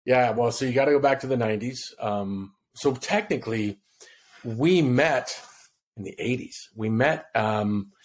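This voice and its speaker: Relaxed man telling a story. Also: mid-range voice, measured pace. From Hawaii. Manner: relaxed, rambling storyteller who acts out quotes. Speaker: guest. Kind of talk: personal storytelling in an interview. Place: home room on video call. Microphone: podcast mic in front of him.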